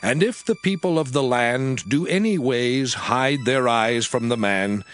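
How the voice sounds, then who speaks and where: A man playing God as a stern lawgiver, declaiming archaic scripture in a solemn, measured, emphatic way. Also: mid-range voice, fairly bright tone, lightly acted. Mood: stern, grave. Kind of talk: dramatized scripture reading in archaic English. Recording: music under the voice. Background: music.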